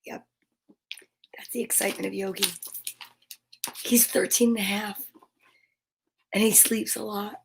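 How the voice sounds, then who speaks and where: A woman hosting an online story time, speaking in a playful, expressive way as if chatting casually with viewers. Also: mid-range voice, a little slow, creaky, pauses often, a little hiss or hum. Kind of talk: casual livestream chat with short read-aloud passages.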